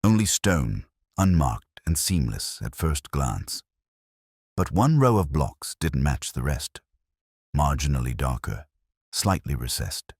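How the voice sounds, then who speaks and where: A man with a gravelly, husky voice reads a scripted first-person detective story in a measured, brooding, literary way. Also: very deep voice, very animated, no echo, studio quality.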